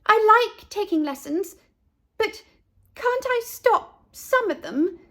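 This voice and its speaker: Woman voicing an excited, high-pitched little girl. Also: slow pace, exaggerated voice. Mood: pleading. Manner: squealing, pleading.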